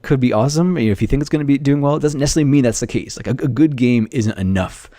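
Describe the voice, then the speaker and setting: A man's voice: medium pitch, rapid-fire, mellow, no room sound, studio quality. A man giving a casual, unscripted monologue of advice as a game developer, conversational and thinking aloud. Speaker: solo indie game developer hosting an audio log.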